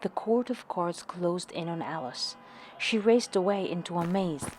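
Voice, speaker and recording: A woman storyteller reads aloud for children. Her voice sits a little low and she takes the narration slowly. There is faint noise in the recording. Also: animated, a little mellow.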